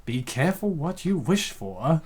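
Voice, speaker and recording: A mid-pitched voice hosting and narrating a comic dub. The delivery moves between storybook-style narration and casual, jokey asides that tend to ramble. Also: very animated, a little slow, a little mellow, breathy edge. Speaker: host, narrator and comic dubber.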